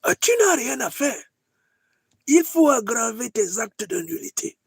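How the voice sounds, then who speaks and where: Casting: aged dictator mentor, mocking and scolding in a very high voice, satirical skit, close dry sound. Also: male voice, animated, a little slow, gravelly, breathy edge, no echo, full character voice. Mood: imperious, scolding. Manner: exclaiming, scolding, repeats himself. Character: old dictator grand master, weary, imperious, mocking mentor.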